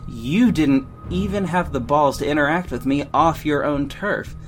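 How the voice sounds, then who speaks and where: A man's voice: fairly high pitch, unhurried, faint music behind, natural voice. An actor playing a sassy, defiant male hero who narrates his own story in an audio drama, with dramatic, pointed delivery. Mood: scornful defiance. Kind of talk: first-person audio drama narration and dialogue.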